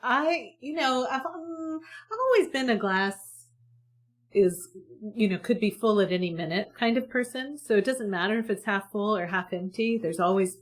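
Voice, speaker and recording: A woman's voice: medium pitch, moderate pace. A woman guest in an interview, talking earnestly and hopefully about communication in a chatty way with many restarts. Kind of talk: conversational interview.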